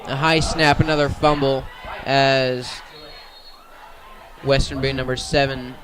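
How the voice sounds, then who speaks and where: A man's voice: mid-range voice, flat delivery, slow pace. A man doing live radio play-by-play of a football game outdoors, in short clipped phrases. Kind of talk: live radio sports commentary.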